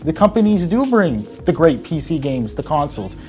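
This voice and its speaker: A man's voice: a little high, sing-song, brisk, darkish tone, faint music behind. A man taking part in a debate show, making his case in casual, chatty speech. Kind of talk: casual argumentative chat.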